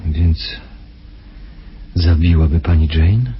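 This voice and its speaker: Very husky, sardonic male detective. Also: low voice, slow, heavily acted. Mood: wary, ironic. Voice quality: husky, rough. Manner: hesitant, probing, dryly ironic. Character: probing, sardonic male homicide lieutenant posing as criminal client. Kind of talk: Polish radio crime drama acting. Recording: dull, muffled radio recording.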